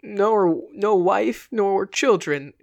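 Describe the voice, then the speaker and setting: A woman's voice: mid-range voice, creaky, a little mellow, pitched above the performer's own voice. A woman voicing a sick, delirious male actor who mutters weakly in a storybook reading. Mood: mournful. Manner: weak, slow mutter. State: gasping sick. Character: dying giant male actor, homesick and delirious. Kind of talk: dramatic audiobook reading.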